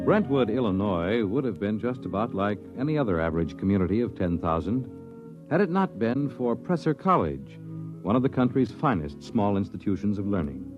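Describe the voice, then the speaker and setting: A man's voice: on the low side, very animated, normal speed. A man narrating a 1950s radio drama as its announcer, with dramatic scripted delivery. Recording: dull vintage radio recording with music. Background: dramatic music.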